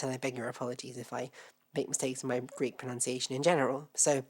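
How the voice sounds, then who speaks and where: A woman hosting an educational reading, talking in a relaxed, explanatory way, her voice a bit off from a cold. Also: a bass voice. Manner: relaxed, self-deprecating, explanatory. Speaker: host.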